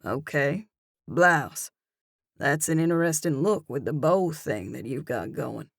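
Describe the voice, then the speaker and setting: A woman narrator drops her voice for a cocky young man with a teasing, taunting edge. His lines come a little lower and slower than her narration, relaxed and smug. The sound is dry and close. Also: vocal fry, dry recording, full character voice.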